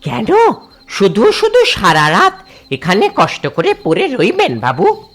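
An eerie, servile cart driver from a horror cartoon. The voice is high and husky, swooping wildly as he coaxes in drawn-out phrases. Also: wildly swooping pitch, a bit bright, soft background music, full character voice. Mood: eerie coaxing. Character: eerie village bullock-cart driver, man, coaxing and servile.

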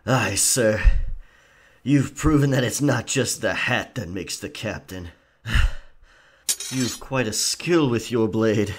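A man acts out a roguish male pirate in an ASMR roleplay. He speaks slowly and theatrically, drawing words out with a sly, cocky charm. The delivery is taunting, like a swaggering bargainer at sea. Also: lowish voice, noisy background. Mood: wry, pleased.

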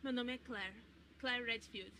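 A woman's voice: fairly high pitch, fairly even intonation, unhurried, background noise, close to an everyday voice. A woman with a Brazilian accent voicing an anxious, polite young survivor in a video game fan dub. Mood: uneasy. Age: young adult. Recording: music behind the voice. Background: game music.

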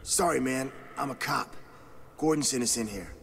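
A jittery police officer from a video game, fumbling for words and relieved to be found. The voice is mid-pitched over a faint background hiss. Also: male voice, normal speed, vocal fry, a bit bright. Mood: nervous. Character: nervous trapped police officer.

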